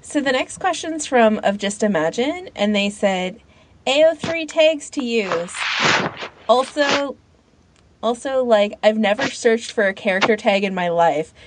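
A woman's voice: fairly high pitch, expressive, measured pace, background noise. A woman co-hosting a podcast in casual chat, informal and opinionated, often peppering her sentences with "like". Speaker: podcast co-host.

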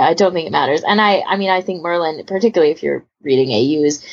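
A woman's voice: deep voice, slightly rough, highs cut off. A woman hosting a podcast in casual, conversational chat. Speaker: podcast host.

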